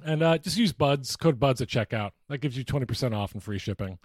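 A man of medium pitch speaking as a podcast co-host. He chats casually and jokes along in a conversational way, recorded close with no room sound. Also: lively intonation, a little fast, no echo. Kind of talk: casual comic banter.

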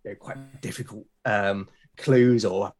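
A British man talking casually as an interview guest, explaining things haltingly and often repeating his words. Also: on the low side, steady tone, darkish tone. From Britain. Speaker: podcast guest, game master and podcast creator. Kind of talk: casual conversational interview talk.

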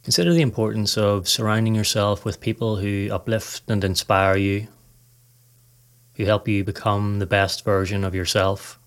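A man narrating a scripted guided meditation in a calm, measured, instructional way. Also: lowish voice. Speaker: podcast host, meditation guide.

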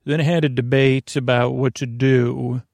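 A man hosting a sleep podcast in a creaky voice, meandering and halting through mumbled word fragments and tangents to lull listeners to sleep. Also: medium pitch, fairly even intonation, darkish tone, dry recording. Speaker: podcast host. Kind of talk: rambling bedtime monologue meant to lull listeners to sleep.

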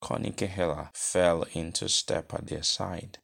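A man with a Nigerian accent gives an expressive, deliberate reading of literary fiction. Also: low voice, vocal fry. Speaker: guest reader, novelist. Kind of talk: expressive literary audiobook narration.

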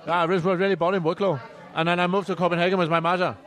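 A man's voice: sits high, little pitch movement, racing, fairly bright tone, some chatter behind. A man voicing a cheerful Danish cyclist with Irish roots, in a put-on Danish accent with an Irish twang, gushing and comic. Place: bar near Madrid.

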